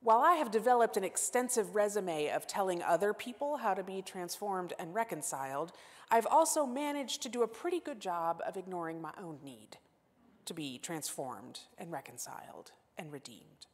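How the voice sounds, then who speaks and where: A woman in her forties giving a sermon as guest preacher, earnest and self-deprecating, moving between emphatic lines and hushed asides. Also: medium pitch, animated, moderate pace. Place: church sanctuary. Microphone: lapel mic. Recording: long church-hall reverb.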